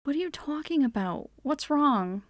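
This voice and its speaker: Woman playing a caring, higher-voiced mother. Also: expressive, moderate pace, no room sound. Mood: reassuring. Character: caring, encouraging mother of a teenager. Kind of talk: fan comic dub acting.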